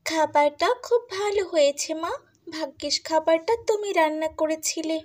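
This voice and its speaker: A woman's voice: extremely high pitch, normal speed, a little mellow. A woman voicing a spoiled, haughty young daughter in a cartoon, sneering and whiny. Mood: smug, disdainful. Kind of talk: Bengali cartoon dubbing.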